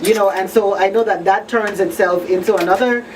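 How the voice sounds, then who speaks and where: Female talk radio host, medium pitch, earnest and deliberate; slightly off-mic, faint noise. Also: fairly even intonation, recorded from a distance, poor audio. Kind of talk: live discussion, advocacy talk.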